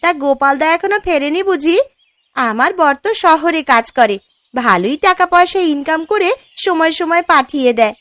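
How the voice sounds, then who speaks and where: Woman voicing a shrill, boastful village housewife. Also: animated, moderate pace, a bit bright, no room sound. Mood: snide, taunting. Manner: taunting, boastful. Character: snide, boastful, nosy young village housewife. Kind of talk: cartoon story dialogue.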